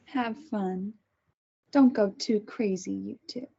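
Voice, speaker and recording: A woman's voice: mid-range voice, slow pace, creaky, mellow, a little hiss or hum. A woman in a script table read, playing a controlling mother and captor with menacing, false sweetness. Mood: falsely sweet. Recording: dull, muffled lo-fi sound.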